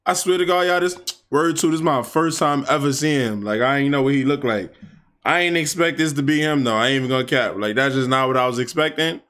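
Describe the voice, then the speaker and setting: A young man talks loosely and excitedly over a track he is reacting to, full of slang and running asides. His voice sits at an average pitch. He speaks to a webcam from an indoor spot at home. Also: brisk. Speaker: music reaction host. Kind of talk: casual reaction commentary. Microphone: webcam framing, wearing headphones.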